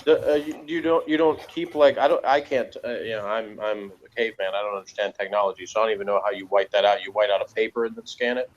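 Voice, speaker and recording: A man co-hosting a casual review chat, talking in a rambling, halting, self-deprecating way. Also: fairly low pitch, a little hiss or hum. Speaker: co-host of a TV review show. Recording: dull, lo-fi audio.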